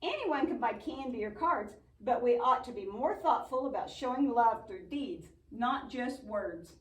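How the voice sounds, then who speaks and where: A woman's voice: fairly high pitch, expressive, measured pace. A woman playing an earnest, patient but bossy young puppet friend in a church show, explaining and chiding. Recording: echoey room, distant mic.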